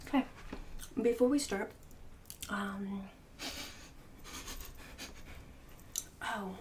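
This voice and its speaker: A woman's voice: medium pitch, far from the mic, slight background noise. A woman playing a snobbish, mean suburban book club hostess in an ASMR roleplay, partly whispered, with condescending, fake-polite emphasis. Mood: snooty indignation, then disgusted condescension.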